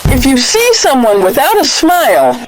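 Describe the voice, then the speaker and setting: A woman's voice: sits high, big pitch swings, normal speed, music in the background, poor audio. A woman voicing a chirpy cartoon toy dog mascot, delivering catchphrases with exaggerated sing-song cheer. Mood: cheerful.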